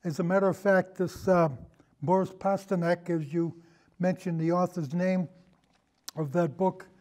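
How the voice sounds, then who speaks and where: Higher-pitched older man, rambling. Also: steady tone, unhurried, darkish tone. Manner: rambling, digressive, hesitant. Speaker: guest, amateur historian and old time radio podcaster. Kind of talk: casual chat, reminiscing.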